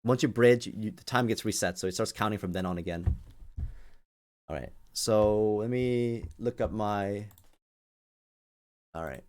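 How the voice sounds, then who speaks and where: A man's voice: fairly low pitch, measured pace, pauses often, darkish tone. Man casually hosting a livestream. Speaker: livestream host. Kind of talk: casual live commentary to viewers.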